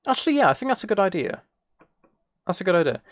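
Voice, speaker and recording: A man's voice: high voice, big pitch swings, fast, dry recording, clean studio sound. A man chatting casually while he plays a game, thinking aloud in a rambling, playfully self-mocking way. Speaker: streamer.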